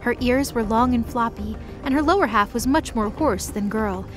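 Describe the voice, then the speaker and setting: A woman's voice: fairly high pitch, moderate pace, over music. A woman narrator reading a scripted fantasy story.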